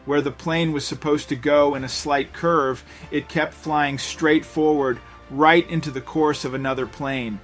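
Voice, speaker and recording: A man narrating a scripted dramatic story in a measured, suspenseful way. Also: fairly high pitch, fairly even intonation, fairly bright tone. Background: background music.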